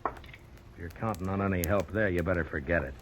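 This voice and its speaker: An actor playing a terse, dry, commanding US marshal in an old-time radio western. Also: male voice, low-pitched, fairly quick, fairly bright tone, slight background noise. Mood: stern, challenging. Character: stern, fearless frontier US marshal, dry and commanding. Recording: old radio recording with music bed.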